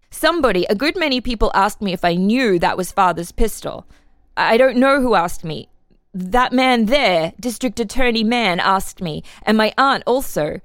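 A woman's voice: mid-range voice, no echo, clean studio sound, lightly acted. A woman voicing an earnest ten-year-old farm boy giving simple, plain-spoken testimony in a dramatic reading. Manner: simple, plain-spoken, answering questions literally.

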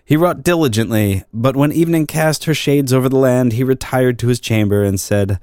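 A man reading an old crime story as narrator, in a measured, formal storytelling manner. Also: mid-range voice, darkish tone, no room sound, clean studio sound.

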